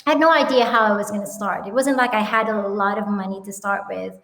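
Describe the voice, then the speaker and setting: A woman in her thirties with a Filipino accent, telling her founder story in an animated, upbeat way with frequent ums and laughs, on a video call. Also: mid-range voice, quick pace, echoey room. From Philippines. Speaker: guest, company founder. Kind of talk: casual interview chat. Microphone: webinar video call.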